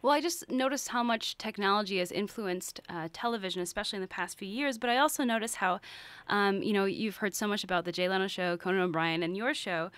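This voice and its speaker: An American woman interviews in a conversational radio style, building long, meandering questions. Her voice is mid-pitched, smooth and resonant. The sound is close and dry. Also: fast, a bit bright, no room sound.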